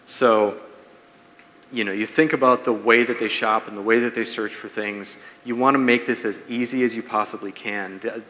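A man's voice rings through a large, reverberant room. He speaks as a seminar presenter, at a middling pitch. His delivery is conversational and explanatory, laying out practical points for listeners. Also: fairly bright tone. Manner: conversational, explanatory, checks in with "right?". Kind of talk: business seminar talk to an audience. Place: large brick-walled event room, indoors. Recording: echoey hall, long reverb.

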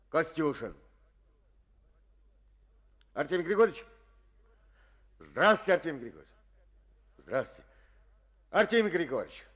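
An actor playing a blustering, wounded party official who pleads and grumbles in an old radio drama. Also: male voice, on the high side, a bit bright, exaggerated voice. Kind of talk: 1950s Soviet radio drama acting.

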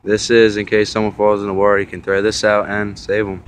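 A young man casually narrating a tour of a river dam outdoors, filming on a phone, halting with many fillers and restarts. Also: on the low side, monotone, a little hiss or hum. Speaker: vlogger filming a local landmark. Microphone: phone camera held while walking.

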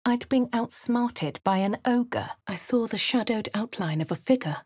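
A woman voicing a refined, posh young adventurer with a British accent, speaking crisp, clipped game lines and narration. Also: a little high, animated, moderate pace, a little mellow, no echo, studio quality. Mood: composed. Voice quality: crisp, well-spoken. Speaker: player character.

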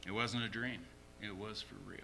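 A man's voice: fairly low pitch, steady tone, moderate pace, bright, forceful tone, slight background noise. A man preaching a sermon as a pastor, emphatically repeating short phrases.

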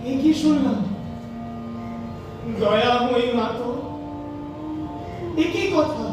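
A performer playing an anguished, devout young male disciple in a verse stage drama, pleading in drawn-out, impassioned phrases. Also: mid-range voice. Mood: shocked, anguished. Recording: echoey hall, distant mic, faint music.

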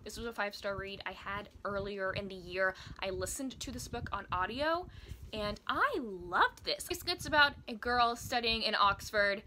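Bright-voiced young woman, chatty book vlogger. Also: mid-range voice, lively intonation, normal speed, slight background noise. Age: 20s. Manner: enthusiastic, emphatic. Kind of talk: casual chatty book review to camera. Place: small indoor room with bookshelves.

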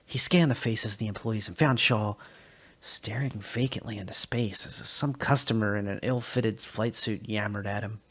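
A man reading a sci-fi short story aloud as narrator, expressive with small slips. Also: lowish voice, moderate pace. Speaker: reader.

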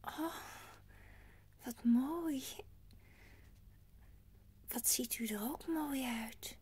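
A woman's voice: high voice, drawn-out words, darkish tone, breathy edge, full character voice. An actress playing an innocent, polite little girl in an audio drama, childlike, trusting and hesitant. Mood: wondering. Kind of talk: Dutch audio drama acting.

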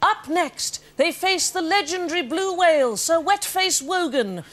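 A woman's voice: very high voice, animated, a little slow, a bit bright. A middle-aged woman, telling a scripted comic story in an arch, theatrical way as pantomime narrator. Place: TV studio, at a lectern. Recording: studio with audience noise.

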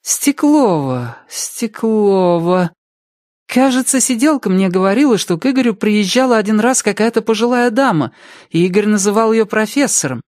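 A woman's voice: medium pitch, animated, moderate pace, darkish tone, no echo, studio quality, pitched above the performer's own voice, natural voice. A woman voicing a wary, firm mother who doubts what she is told, in an audiobook reading. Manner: skeptical, firm.

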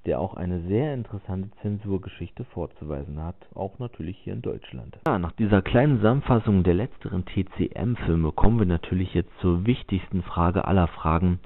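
Deep-voiced German man, tinny dry recording. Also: no room sound. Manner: casual, many hesitations and fillers. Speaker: film reviewer. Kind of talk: spoken film review voice-over. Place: voice-over over film clips.

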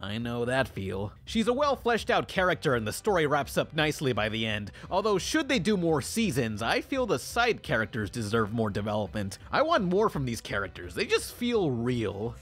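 Lively man reviewing cartoons. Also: medium pitch, normal speed, few pauses. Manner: sarcastic, profane joking. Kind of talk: scripted review narration.

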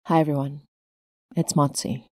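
A woman's voice: low voice, little pitch movement, moderate pace, mellow, no room sound, clean studio sound. A woman hosting her podcast, reading scripted announcements as its creator.

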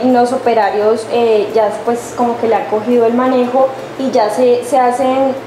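Hesitant young woman, echoey kitchen. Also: on the high side. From Colombia. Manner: hesitant, many fillers and restarts. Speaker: food service operator. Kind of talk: testimonial interview. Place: large industrial kitchen, indoors. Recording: echoey kitchen with noisy ambience.

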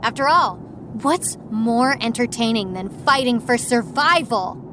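A defiant young female fighter taunts in a high voice. The delivery is casual and mocking, in action audio drama style, with clear noise behind it. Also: animated, measured pace.

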